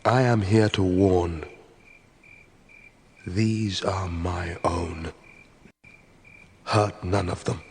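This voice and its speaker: An actor playing a charismatic wine god and cult leader in an audio drama, with a measured, incantatory, poetic delivery. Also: male voice, deep voice, fairly even intonation, slight background noise, exaggerated voice. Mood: calm warning. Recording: music behind the voice.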